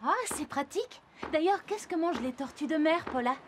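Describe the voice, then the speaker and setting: A woman's voice: high-pitched, lively intonation, brisk, a little hiss or hum. A woman voicing a proud but friendly female tortoise in a children's cartoon, explaining things simply.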